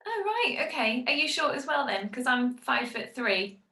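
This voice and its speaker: Bright-voiced host with light Gloucester accent. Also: female voice, high voice, very animated, normal speed, reverberant. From Gloucester, England. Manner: friendly, laughs often. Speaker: podcast host, singer. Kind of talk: casual interview chat.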